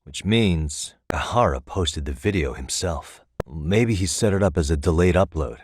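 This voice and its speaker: An American man voicing a level-headed, thoughtful detective in a video game, with measured, articulate delivery as he reasons aloud. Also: deep voice, animated, breathy edge, no room sound, clean studio sound, full character voice. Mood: analytical, serious. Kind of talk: scripted game dialogue.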